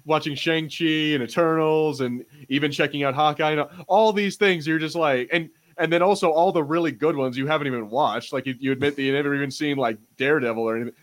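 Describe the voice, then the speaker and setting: A man co-hosting a podcast in casual pop-culture banter, speaking informally and swearing freely. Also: fairly high pitch. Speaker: podcast host.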